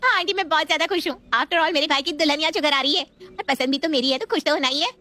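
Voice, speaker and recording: A woman's voice: sits high, fast talker, sharp tone. A woman playing a proud, easily miffed young married sister in a family story, chatty and emphatic. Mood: excited. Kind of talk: scripted family story acting.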